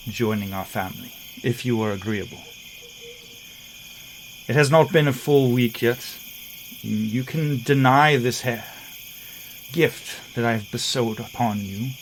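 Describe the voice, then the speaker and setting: Female were-tiger hunter, voiced by a man, poised and persuasive, slow formal delivery. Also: mid-range voice, a little hiss or hum, low-quality recording.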